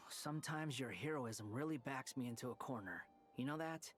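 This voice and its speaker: A man's voice: medium pitch, normal speed, fairly bright tone, natural voice. A brooding, calculating young man, played by a male game actor, speaks in a medium register with music underneath. He is aloof and vengeful, and weighs his lines with cynical deliberation. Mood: guarded. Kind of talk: video game voice acting.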